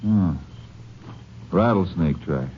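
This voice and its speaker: A man's voice: low voice, animated, takes it slowly. An American actor playing a plain-spoken, cautious lawman sidekick in a 1950s radio western, laconic and folksy. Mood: wary, watchful. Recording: muffled old radio, faint noise.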